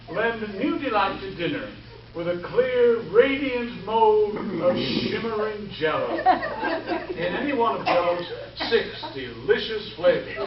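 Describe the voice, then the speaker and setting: Man as high-voiced, unhurried old-time radio announcer. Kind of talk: 1940s radio announcing, scripted sponsor pitch. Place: live stage show before an audience. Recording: echoey, muffled live stage from far off.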